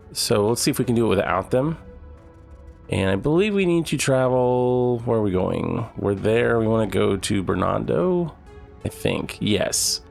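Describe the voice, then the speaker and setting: A man's voice: mid-range voice, vocal fry. A man with a cold, sounding nasal, casually commenting on his gameplay. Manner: relaxed, thinking aloud. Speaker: gaming channel host.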